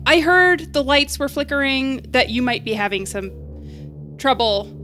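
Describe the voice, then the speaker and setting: A woman playing an awkward, bluffing young repair worker in a comedy audio drama, halting and wryly correcting herself. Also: high voice, animated, a bit bright, faint music behind. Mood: wry, uneasy. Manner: halting, self-correcting, wry.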